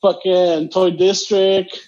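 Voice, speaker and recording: A man's voice: high-pitched, flat delivery, slow pace, a little mellow, highs cut off. A man with a Chicano Los Angeles accent, co-hosting a casual podcast chat, rambling, swearing and switching between English and Spanish. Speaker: podcast co-host.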